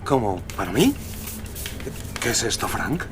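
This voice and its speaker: A man's voice: fairly low pitch, sing-song, unhurried, a little hiss or hum. A man playing a humble, grateful working father, eager to please, in an audio drama.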